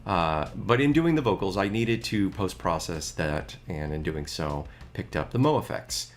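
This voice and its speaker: A man's voice: low-pitched, animated, measured pace. A middle-aged man talking casually about his music gear, chatty and a bit rambling.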